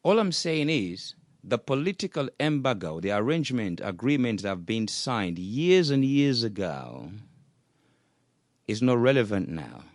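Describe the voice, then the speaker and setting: A man's voice: mid-range voice, sing-song, unhurried, dry recording, clean studio sound. A man co-hosting a radio talk show, making his case earnestly and circling back with "what I'm saying is".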